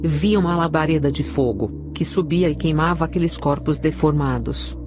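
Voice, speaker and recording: A woman's voice: low voice, normal speed. A woman with a Brazilian accent narrating a scripted, dramatic religious testimony. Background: prominent music.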